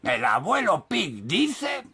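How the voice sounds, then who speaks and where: An actor with a Spanish accent from Spain playing a proud, blustering old grandpa pig boat captain in a children's cartoon. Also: male voice, high-pitched, huge pitch swings, slow pace, pushed and bright, breathy edge, full character voice. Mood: boastful.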